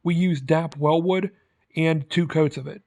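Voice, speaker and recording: A man's voice: on the high side, fairly even intonation, unhurried, a little mellow. A man narrating a scripted how-to tutorial as its host, in a plain, instructional manner. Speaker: host of prop-making tutorial.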